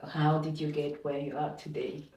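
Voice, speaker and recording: A woman hosting and moderating a panel discussion, measured, with "uh" pauses. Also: sits low, flat delivery, mellow, slight background noise. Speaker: host and moderator. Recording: roomy, distant mic.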